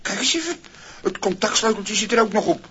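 An actor playing a scruffy, good-natured, cheeky vagrant who exclaims and gets flustered, in a 1960s radio play. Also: male voice, high-pitched, animated, a little fast, a bit bright. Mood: delighted wonder. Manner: stammering when flustered, exclaiming, talking to himself. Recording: muffled, noisy 1960s vinyl single recording.

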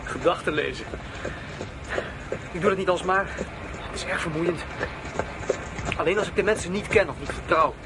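A tender young horseman in a radio drama, speaks softly and intimately in a fairly high male voice. Clear background noise surrounds him. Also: normal speed, long pauses. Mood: earnest. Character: young male horseman, tender, courting, mind-reading traveller. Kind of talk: Dutch radio drama acting.

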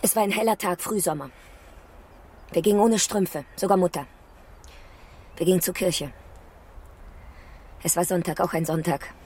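An actress in a radio drama playing a grieving woman who survived a bombing, telling it in a quiet, halting, hushed way. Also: fairly low pitch, slightly rough. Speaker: woman recounting a wartime air raid.

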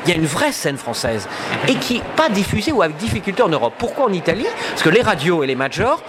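A man's voice: sits high, very animated, fast, a crowd in the background. A man speaking in a radio interview about culture, hesitant, with many fillers and restarts. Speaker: cultural attaché at an embassy.

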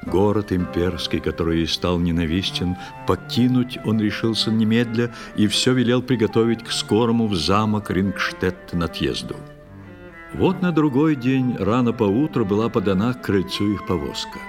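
A man narrating a verse fairy tale in an expressive, scripted storytelling style. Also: on the low side, moderate pace. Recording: music behind the voice. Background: clear instrumental music.

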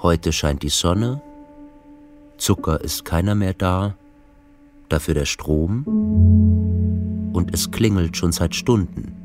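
A man playing a sober narrator in a literary radio play, reporting in a measured, matter-of-fact way. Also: deep voice. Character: sober male narrator reporting an old man's lapses. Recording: prominent music under the voice. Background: music.

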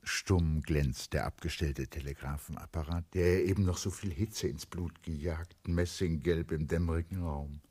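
An actor narrating a literary radio play in German, intense and emphatic, moving between hushed and urgent delivery. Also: male voice, a bass voice, fairly even intonation, moderate pace, hardly any pauses, husky. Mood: hushed, grave. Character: literary narrator of a young postal clerk's story.

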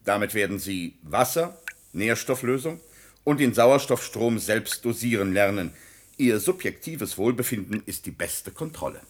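Callous scientist doctor in a radio drama, lowish husky voice, brusque and dismissive. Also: male voice, lively intonation, moderate pace. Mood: cool, dismissive. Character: callous, impatient male scientist doctor, coolly self-justifying. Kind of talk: German science-fiction radio drama acting.

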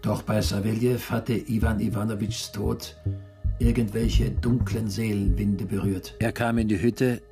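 A prisoner recounting camp life for a literary radio drama. He is measured and resigned, with a fairly low voice over prominent music. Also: little pitch movement. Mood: sober resigned. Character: male prisoner narrator, sober and resigned. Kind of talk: literary radio play narration.

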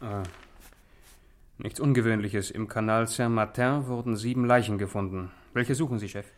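Actor as low-voiced dutiful police subordinate. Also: male voice, steady tone, moderate pace, natural voice. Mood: attentive. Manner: prompt, respectful, questioning. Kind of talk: 1960s German radio play acting.

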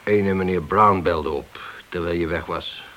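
An actor playing a suspicious, sarcastic police inspector with a curt, accusing manner in a radio play. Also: male voice, low-pitched, a little slow, a bit bright. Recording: dull, slightly hissy old radio drama recording.

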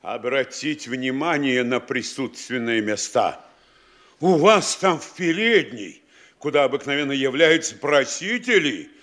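A man's voice: on the high side, sharp tone, full character voice. An actor playing a pompous middle-aged town mayor who lectures and instructs his officials in a radio play. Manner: bossy, instructing, mock-scolding. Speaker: town governor. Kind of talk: studio radio play acting.

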